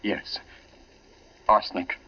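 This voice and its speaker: A man's voice: slow pace, music in the background, natural voice. An actor playing a troubled, secretive man, intense and desperate, in a 1940s radio drama style. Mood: grave confession. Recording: muffled vintage radio recording.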